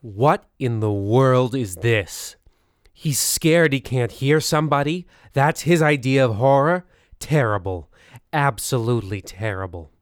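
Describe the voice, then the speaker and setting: A man's voice: medium pitch, unhurried, dry recording, clean studio sound, close to an everyday voice. A man playing a pompous, disdainful veteran host of a radio horror show, speaking in a theatrical monologue. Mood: indignant.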